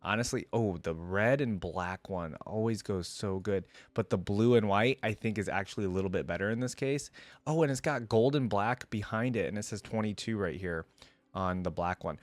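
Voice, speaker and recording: A man's voice: lowish voice, normal speed, no room sound. A man giving informal, rambling and opinionated commentary in a casual reaction video. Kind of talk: casual reaction commentary, partly reading aloud.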